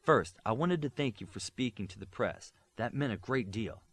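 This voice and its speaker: A polite, officious administrator, voiced by a man reading an audiobook, talks formally and earnestly. The pitch is a bit raised and moves around a good deal. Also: animated, normal speed, slightly rough. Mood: grateful, formal.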